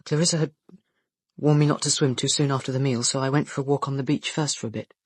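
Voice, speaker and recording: An actor playing a polite, earnest teenage schoolboy in a radio play, speaking hesitantly and deferentially. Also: male voice, mid-range voice, steady tone, quick pace, husky, no echo, lightly acted. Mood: hesitant, uneasy.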